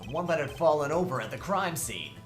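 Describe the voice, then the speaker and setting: A woman's voice: low voice, sing-song, brisk, reverberant, soft background music. A woman voicing a brash, sarcastic young male defense lawyer in a courtroom game fan dub, theatrical and teasing. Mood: probing.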